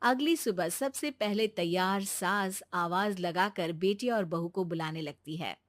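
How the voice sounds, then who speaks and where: A woman narrating a cartoon moral story in a calm, even storytelling manner. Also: on the low side, measured pace, no room sound.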